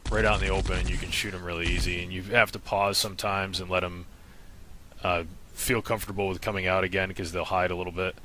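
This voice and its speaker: A man's voice: low-pitched, flat delivery, fast talker, bright, forceful tone. An American man giving casual, matter-of-fact instructional commentary as the narrator of a video game walkthrough. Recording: voice-over with game combat noise behind.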